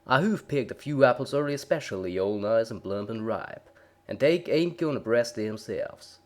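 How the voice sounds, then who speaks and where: A big male farm pony, voiced by a man, talks. He stays placid and pleasant with a quiet menace underneath, as in a dark storybook tale. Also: medium pitch, expressive, normal speed, natural voice. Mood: easygoing. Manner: placid, folksy, pleasant while threatening.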